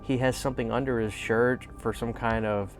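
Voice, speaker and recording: A man's voice: medium pitch, steady tone, moderate pace. Enthusiastic man chatting over music. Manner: enthusiastic, informal fan talk. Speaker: host of a horror fan video channel. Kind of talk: casual vlog commentary.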